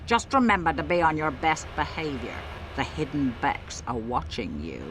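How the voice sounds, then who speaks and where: A woman's voice: low-pitched, animated, normal speed, background noise. A woman playing a wary, loyal street gang guard in a video game, guarded and challenging. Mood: grudging, warning.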